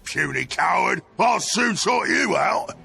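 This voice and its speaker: A man voicing a brutish, taunting orc warrior for a fantasy video game, harsh, gravelly and crude. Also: sits high, lively intonation, slow pace, sharp tone, shouting, exaggerated voice. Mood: menacing, taunting. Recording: music behind the voice.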